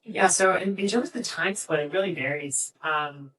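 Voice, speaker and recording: Distant event recording, man, fairly high bright voice, halting panelist explanations. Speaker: panelist, AI agent engineer. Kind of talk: fireside chat answers. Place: live fireside chat event. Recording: distant mic, poor event audio.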